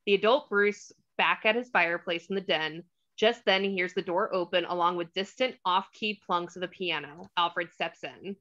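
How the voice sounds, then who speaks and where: Woman narrator, scripted screen directions, middle pitch, bright forward tone, slightly dull lo-fi microphone. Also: normal speed. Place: remote online table read.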